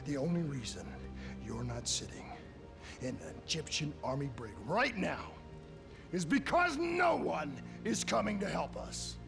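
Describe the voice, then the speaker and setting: An actor playing a determined, loyal soldier in a dramatic military video game, intense under pressure. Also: male voice, on the high side, big pitch swings, measured pace, vocal fry, music in the background, full character voice. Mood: tense pleading.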